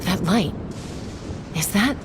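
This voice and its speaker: A woman's voice: medium pitch, lively intonation, slow pace, noisy background. A woman playing a practical, doubtful female companion in a video game, understated and skeptical. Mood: startled.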